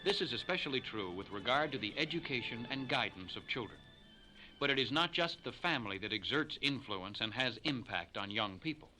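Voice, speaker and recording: A man's voice: mid-range voice, expressive, a little fast, music in the background, rough recording. A man narrating a 1960s propaganda filmstrip in an earnest, solemn, moralizing manner, on an old soundtrack.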